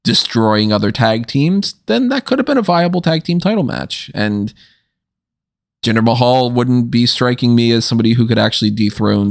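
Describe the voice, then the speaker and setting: A man co-hosting a casual podcast chat, talking with lively swoops up in pitch. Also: creaky, dry recording, clean studio sound. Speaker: podcast co-host.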